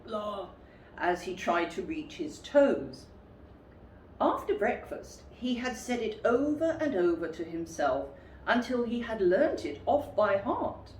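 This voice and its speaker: A woman storyteller reading a children's story aloud in an expressive style. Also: lowish voice. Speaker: storyteller, school reader. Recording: roomy, distant mic, faint background noise.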